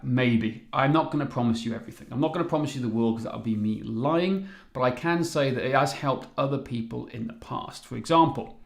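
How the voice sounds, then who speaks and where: An upbeat man in his forties with a Welsh accent, whose first language is Welsh, presents a live coaching webinar. He talks chattily and keeps throwing rhetorical questions at his audience. His voice sits at an ordinary middle pitch and rings in a roomy, echoey space. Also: fairly quick, gravelly. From Wales. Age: 40s. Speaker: fitness coach and company founder.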